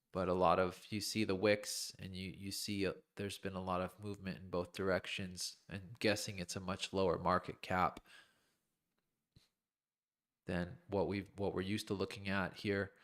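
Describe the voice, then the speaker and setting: Low-voiced man talking through trading charts. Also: fairly bright tone. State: losing his voice, clearing his throat. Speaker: crypto trading streamer. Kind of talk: live chart analysis commentary, thinking aloud.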